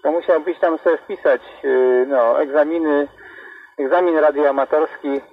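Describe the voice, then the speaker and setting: A man's voice: on the high side, fairly even intonation, normal speed. A man chatting casually as an operator over a CB radio. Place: heard through an external radio speaker. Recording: tinny, narrow CB radio transmission.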